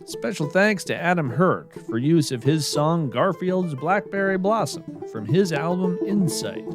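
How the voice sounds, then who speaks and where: Deadpan man narrating over plucked string music. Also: a little high, a little slow. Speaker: podcast host and narrator. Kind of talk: scripted narration.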